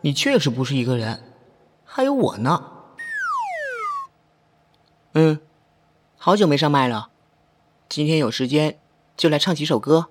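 A man playing a cocky young amateur voice actor in an online radio drama, with a theatrical, expressive delivery. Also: a little high, measured pace, pauses often, a little hiss or hum. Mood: nonchalant, playful.

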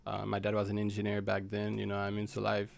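A man's voice: on the low side, completely flat delivery, quick pace, gravelly. A young man from Haiti, a guest chatting casually in a laid-back, slangy, rambling way full of fillers. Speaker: podcast guest. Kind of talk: casual chat.

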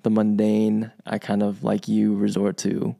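A man who grew up in Virginia, co-hosting a podcast in relaxed conversation, thoughtful and full of restarts and asides. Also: fairly low pitch, measured pace, gravelly. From United States, grew up in Virginia. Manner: thoughtful, many restarts and asides, animated when quoting. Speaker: podcast co-host. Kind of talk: casual conversational chat.

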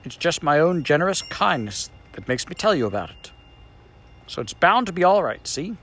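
A man's voice: on the high side, sing-song, normal speed, a bit bright, slightly rough, pitched above the performer's own voice, full character voice. A man voicing a grumpy, self-important magic sand creature in an audiobook, speaking in a cross, snappish way. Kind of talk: audiobook character voice.

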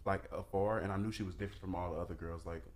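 A man's voice: low-pitched, steady tone, very fast. A man in his twenties, a podcast guest, talking laid-back about his relationship. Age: 20s. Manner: laid-back, laughs through his answers. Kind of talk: casual chat.

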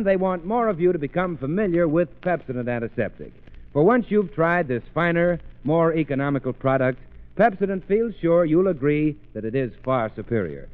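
An American man working as announcer and straight man on a 1930s radio programme. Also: on the high side. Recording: tinny narrow old radio broadcast.

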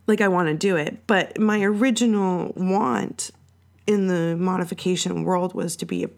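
A woman hosting her own podcast, raspy and hoarse from being sick, rambling and swearing casually. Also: on the low side, lively intonation, unhurried, a little mellow. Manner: rambling and profane, lots of fillers. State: sick, hoarser than usual. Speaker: podcast host. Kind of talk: casual solo podcast chat.

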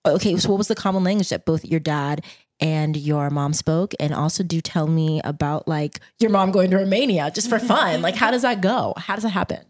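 A woman with a lower voice hosting a podcast. She chats freely and asks eager, curious questions, with lively little asides along the way. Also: fairly quick. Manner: curious, chatty, animated asides. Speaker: podcast host. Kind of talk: casual interview chat.

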